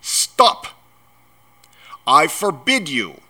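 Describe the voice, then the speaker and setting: A man voicing a pompous, frightened middle-aged uncle in a storybook, with a sharp, commanding manner. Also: on the high side, big pitch swings, extremely slow, pitched above the performer's own voice, full character voice. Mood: panicked commanding.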